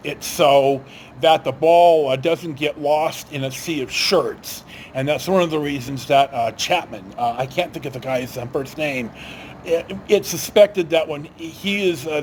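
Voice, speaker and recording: Man, lively swooping intonation, halting delivery, casual rambling storytelling, faint background noise. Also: on the high side. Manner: halting, often breaking off and circling back. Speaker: storyteller host.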